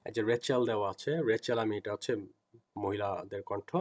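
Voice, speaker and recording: A man with a Bangladeshi accent giving an informal software tutorial, explaining step by step and repeating himself as he teaches. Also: lowish voice, moderate pace.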